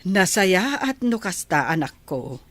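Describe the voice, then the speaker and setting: A woman's voice: on the low side, sing-song, a little slow, a bit bright, no echo, heavily acted. A woman acting a caring, moralising mother of a grown son, earnest and advising, with an Ilocano accent in a radio drama.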